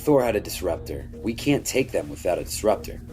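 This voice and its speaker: An actor playing a badly wounded, weary captain in an audio drama, with weak, labored, halting and terse speech. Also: deep voice, big pitch swings, mellow, faint music behind. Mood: grim, urgent.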